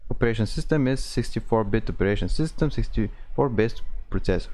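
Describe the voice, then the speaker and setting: A man hosting an informal software tutorial, explaining step by step in non-native English phrasing. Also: on the low side, expressive, brisk. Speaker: tutorial host.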